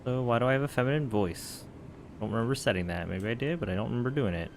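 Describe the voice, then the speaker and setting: A man's voice: medium pitch, quick pace, background noise. A man giving casual, joking commentary while playing a video game, often muttering to himself. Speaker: gameplay streamer.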